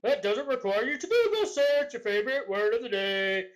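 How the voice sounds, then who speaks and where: A woman's voice: fairly high pitch, very animated, normal speed. A woman reading forum posts aloud in a sarcastic, argumentative way, like a mocking dramatic reading. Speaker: co-host.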